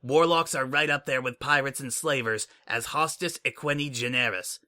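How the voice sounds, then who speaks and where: A man's voice: mid-range voice, moderate pace, pushed and bright. A man voicing a brash, smug female pony spy in a fan audiobook reading, speaking in a flippant, mocking way.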